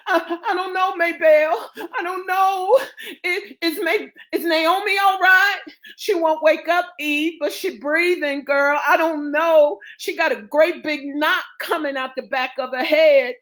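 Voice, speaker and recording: Worried neighbour woman for dramatic fiction, acted by a female narrator, high, folksy, distressed. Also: fairly bright tone. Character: panicked woman neighbour reporting on injured girl.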